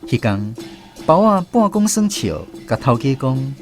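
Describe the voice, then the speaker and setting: An actor narrating as a former conscript in a radio drama, telling scripted first-person memories with a Taiwanese accent. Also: male voice, medium pitch, very animated, measured pace. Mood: reflective. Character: wry former conscript narrating his island army memories. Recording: music bed under narration.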